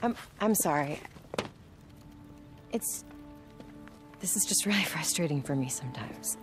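An actress playing a brisk, guarded, determined camp scientist in a video game, earnest in manner. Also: female voice, sits low, expressive, over music. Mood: frustrated.

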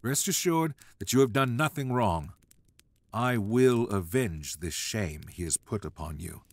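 A man doing a low, husky, gentle king. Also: lively intonation, measured pace, no echo. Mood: resolute. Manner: soft-spoken, quiet and gentle. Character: gentle, resolute male king, kind to his men.

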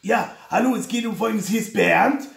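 A man's voice: high voice, normal speed, gravelly, a crowd in the background. A man voicing an earnest, bumbling production contact on a prank call, officious, stammering and repeating himself. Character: earnest, bumbling high-voiced German male TV production contact. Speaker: prank caller. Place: living room sofa, indoors. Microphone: camera at short distance in room. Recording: echoey room, faint noise.

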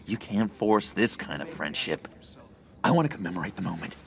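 An actor playing an eager, unhinged young schemer in a video game, halting and wheedling with sinister warmth. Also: male voice, deep voice, very animated, gravelly, full character voice. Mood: gleeful. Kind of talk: video game character acting.

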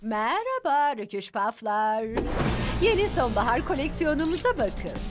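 A woman's voice: high-pitched, sing-song, a little slow, over loud music. A woman voicing a vain, fashion-obsessed cartoon villainess, snobbish and theatrical. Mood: haughty.

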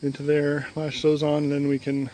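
A man's voice: a little high, moderate pace, slight background noise. Easygoing man narrating a garage build. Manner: relaxed and plainspoken, with frequent ums and uhs. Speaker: woodworking hobbyist filming his canoe build. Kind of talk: casual, unscripted workshop vlog. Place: home garage and driveway, outdoors at times.

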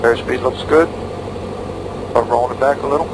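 A man's voice: medium pitch, steady tone, normal speed. Man piloting, clipped phrases over engine noise. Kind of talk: radio calls and self-talk while flying. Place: small aircraft cockpit in flight. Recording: loud aircraft engine noise.

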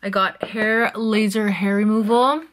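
A woman's voice: mid-range voice, measured pace, rough recording. Chatty woman vlogging through her makeup. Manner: chatty and excitable, trailing into soft asides. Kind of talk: casual chat while doing makeup. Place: small bedroom at home, indoors. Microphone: camera close in front of her.

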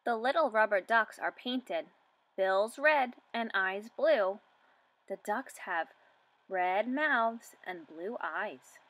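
A woman in her twenties reading a picture book aloud for young children, asking questions and enunciating deliberately. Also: medium pitch, expressive, a bit bright, dry recording. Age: 20s.

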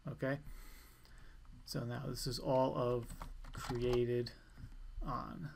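A man giving an informal step-by-step software tutorial in a plain, instructional way. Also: medium pitch, little pitch movement, extremely slow, vocal fry, slight background noise. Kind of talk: step-by-step screencast walkthrough, informal.

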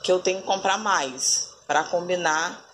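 A woman's voice: fairly low pitch, measured pace, fairly bright tone. A woman in her thirties from Brazil, chatting through a casual home vlog from her kitchen and dining table, often calling her viewers "family". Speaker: family vlogger. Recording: noisy home phone recording.

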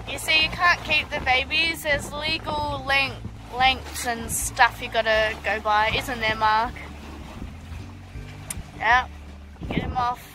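A young woman with an Australian accent chats and giggles to a phone camera at arm's length, outdoors on a windy jetty. Her voice sits high and her delivery is unhurried. Loud music plays under the recording. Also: little pitch movement, rough recording. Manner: chatty and giggly, talks to the camera. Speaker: vlogger. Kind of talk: casual vlog narration. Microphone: phone held at arm's length.

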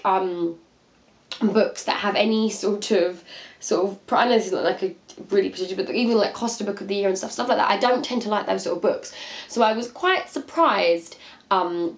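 A woman's voice: medium pitch, lo-fi sound. Chatty young woman with a sniffly cold. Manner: rambling, chatty, many fillers and restarts. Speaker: book reviewer. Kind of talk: casual book review. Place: living room by a window seat, indoors.

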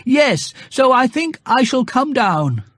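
A narrator voicing an earnest, slow-witted male toy bear in a children's storybook, speaking in a deliberate, drawn-out way. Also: high-pitched, big pitch swings, a bit bright, no echo, studio quality, voice pushed up, full character voice. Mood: earnest, solemn. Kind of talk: children's storybook character acting.

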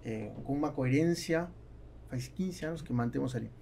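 A man's voice: mid-range voice, moderate pace, gravelly, darkish tone, slight background noise. A man in his forties, a native Spanish speaker with a South American accent, giving hesitant conversational answers as an interview guest. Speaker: guest, entrepreneur. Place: small foam-treated podcast studio. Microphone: studio mic on boom arm.

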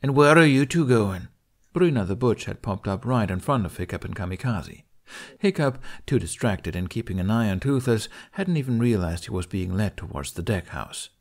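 A man's voice: on the low side, expressive, moderate pace, a little mellow. A man reading a story aloud as an audiobook narrator in a scripted storytelling style.

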